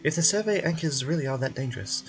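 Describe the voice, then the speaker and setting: A complaining game reporter, voiced by a man, pitched a little high and strained. The character sounds skeptical and put-upon, querulous in every line, with music behind. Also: animated, fast talker.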